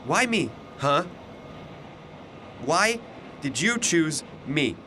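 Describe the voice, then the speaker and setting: A man's voice: fairly high pitch, very animated, drawn-out words, bright, forceful tone, a little hiss or hum, exaggerated voice. A man playing a bewildered, skeptical young university student in an audio drama, questioning and incredulous. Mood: puzzled, wary.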